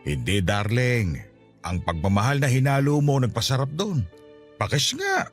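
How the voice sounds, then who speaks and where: A man's voice: medium pitch, sing-song, normal speed, soft background music, lightly acted. A man playing a doting, teasing middle-aged Filipino father and husband in a Tagalog radio drama. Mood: playful, affectionate. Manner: teasing, sweet-talking.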